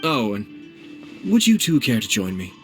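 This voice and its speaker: Composed noble lord, formal courteous phrasing, measured and elegant, mid-range voice, video game dialogue over faint music. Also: male voice, medium pitch, very animated, a bit bright. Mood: polite, composed. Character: courteous, composed noble male clan head, young adult. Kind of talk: video game character acting.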